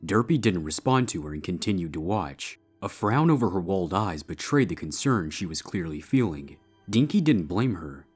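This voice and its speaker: Guy narrating a story, real deep n husky, music in the background. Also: very animated, normal speed, a little mellow.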